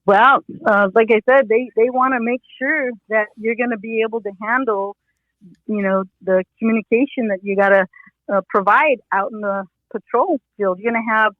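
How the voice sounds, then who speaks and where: Retired cop lady calling in, tinny phone sound, high-ish voice, rambles w lots of you know. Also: moderate pace, no room sound. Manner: rambling, many "you know" fillers and restarts. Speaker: retired police officer, interview consultant. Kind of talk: casual advice in an interview.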